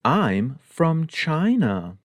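A woman reading an English listening test aloud, slowly and deliberately, saying each item twice. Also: deep voice, big pitch swings, dry recording. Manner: reads each item twice, evenly spaced. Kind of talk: slow, deliberate test dictation.

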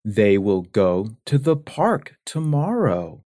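A man reading English listening test passages in a slow, carefully spaced delivery. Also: fairly low pitch, sing-song, warm tone, no echo, studio quality. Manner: clear, carefully spaced words. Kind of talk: slow, deliberate exam reading.

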